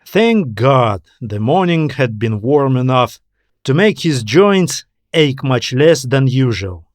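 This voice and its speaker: Unhurried male audiobook narrator, dry sound. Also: medium pitch, big pitch swings, no echo. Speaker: author narrating own story.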